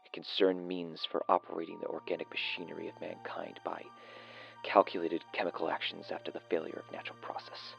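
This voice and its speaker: A man playing a haunted doctor, solemnly recounting horrors in a measured, dread-laden gothic dramatic reading. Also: low-pitched, flat delivery, vocal fry. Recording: dull audio with faint music.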